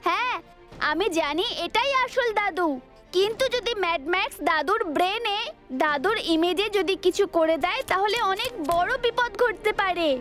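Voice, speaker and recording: Boy robot hero, very high pitch, earnest, urgent, children's cartoon, music behind. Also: moderate pace, a bit bright. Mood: determined. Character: heroic young robot boy, earnest and worried. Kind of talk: Bengali children's cartoon dub.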